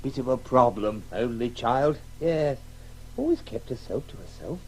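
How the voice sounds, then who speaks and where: A man's voice: medium pitch, expressive, normal speed, darkish tone, a little hiss or hum, lightly acted, low-quality recording. An actor playing a hearty, middle-aged English father making small talk in a radio drama. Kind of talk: 1980s radio play acting.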